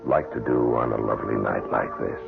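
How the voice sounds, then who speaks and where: An actor playing a brooding, evasive widower who turns smooth suitor, with halting speech, in an old-time radio drama. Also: male voice, a bass voice, fairly even intonation, full character voice. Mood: suave, courting. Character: brooding widower gentleman, evasive, smoothly courting his housekeeper. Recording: tinny old radio broadcast with music.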